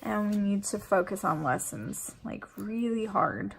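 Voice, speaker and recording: Sniffly woman with a gravelly edge. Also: medium pitch, expressive, measured pace, a little hiss or hum. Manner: rambling and chatty, full of fillers. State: sniffly, runny nose. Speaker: planner vlogger and mom. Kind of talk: casual chat while decorating a planner.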